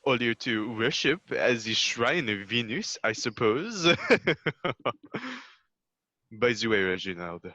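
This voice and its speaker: A man's voice: medium pitch, lively intonation, slow, long pauses, sharp tone, no echo, highs cut off. A man playing a suave, smug, teasing French duke in a 1940s style radio play.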